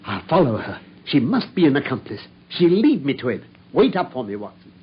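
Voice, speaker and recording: An actor playing a self-assured English detective in a radio drama, telling things with brisk, wry amusement. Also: male voice, medium pitch, very animated, slight background noise, natural voice. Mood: brisk, secretive. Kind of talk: British radio drama acting. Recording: dull, muffled radio drama recording.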